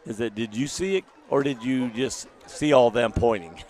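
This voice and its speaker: A man with a deep, gravelly voice, calling a live local high school football game in a folksy manner while recovering from a lost voice. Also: normal speed, some chatter behind. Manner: folksy, excitable on big plays. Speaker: play-by-play announcer. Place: outdoor stadium press box. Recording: outdoor stadium broadcast.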